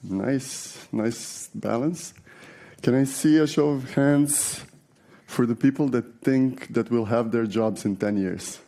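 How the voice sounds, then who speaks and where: A man's voice: mid-range voice, slow pace, creaky, mellow. A man in his forties from Serbia, giving a conversational conference talk with many fillers and restarts. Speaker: conference speaker. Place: large conference hall.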